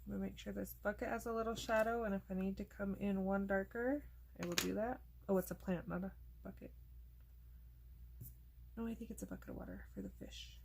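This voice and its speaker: Woman chatting thru a coloring tutorial, bit of vocal fry, kinda losing her voice, mid pitched. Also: fairly quick, a little hiss or hum, low-quality recording. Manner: chatty, relaxed, thinking aloud while working. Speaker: coloring channel host. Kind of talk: casual coloring tutorial narration.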